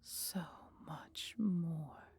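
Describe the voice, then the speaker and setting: A woman voicing a mighty female dragon, proud yet caring, with breathy, husky tones and archaic, theatrical phrasing in a fantasy audio roleplay. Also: deep voice, drawn-out words, darkish tone, in a whisper, full character voice. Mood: tender, sorrowful.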